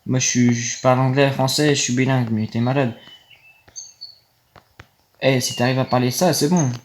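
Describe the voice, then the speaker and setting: A man's voice: medium pitch, fairly even intonation, normal speed, a little hiss or hum. A young man, rambling casually to viewers while playing a game on his phone. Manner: rambling, easily annoyed, talks to viewers. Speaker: gaming video creator. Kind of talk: casual gameplay commentary.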